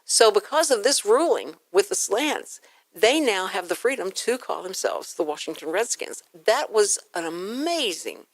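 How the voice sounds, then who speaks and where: A woman hosting a talk show in a casual, chatty way, telling stories in an animated manner. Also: medium pitch, measured pace, no room sound.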